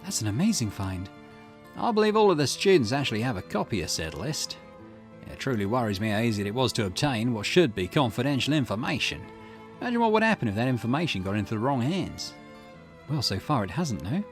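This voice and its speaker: A man reads a visual novel's dialogue aloud as a gaming host, at an ordinary middle pitch. His delivery is expressive, with small stumbles now and then, and faint music plays behind him. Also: brisk. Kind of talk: reading game dialogue aloud.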